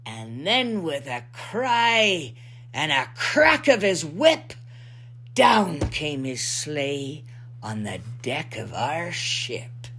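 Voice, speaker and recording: A salty old pirate lookout played by a woman spins a rhyming storybook yarn. The delivery is theatrical and very slow, swinging widely in pitch, with a faint hum behind it. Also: big pitch swings, a bit bright, full character voice. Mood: wondering, dramatic.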